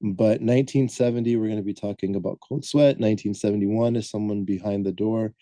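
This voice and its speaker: Dark-voiced man chatting on a podcast. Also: on the low side, fairly even intonation, a little fast, dry recording. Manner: rambling, hesitant, lots of "um" and self-corrections. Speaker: podcast co-host presenting the film research. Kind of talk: casual podcast chat.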